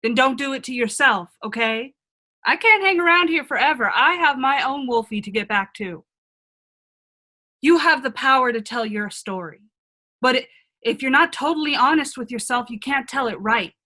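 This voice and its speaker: A woman's voice: sits high, animated, normal speed, sharp tone, exaggerated voice. A woman playing a wise, frank older self from a magic mirror in a radio play, earnest and reassuring. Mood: encouraging, firm. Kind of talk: comedic radio play acting.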